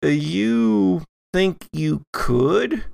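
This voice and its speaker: A man acting as game master, narrating and refereeing a tabletop game in a deliberate way with thoughtful pauses. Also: sits high, lively intonation, vocal fry, a little mellow, no room sound.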